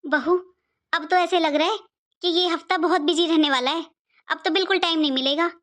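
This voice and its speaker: A woman as a high-voiced, fretful cartoon mother. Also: fairly even intonation, brisk, a bit bright. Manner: rambling, repetitive, motherly. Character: middle-aged mother-in-law, fretful but soothing family matriarch. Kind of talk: Hindi cartoon family drama acting.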